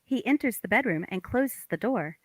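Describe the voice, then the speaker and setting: A woman's voice: a little high, lively intonation, normal speed, no echo. A woman reading stage directions as scripted narration in a play table read.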